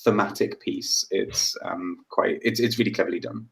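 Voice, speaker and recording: A man's voice: sits low, steady tone, moderate pace, gravelly, poor audio. A man co-hosting a casual chat, hesitant and searching for words. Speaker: co-host.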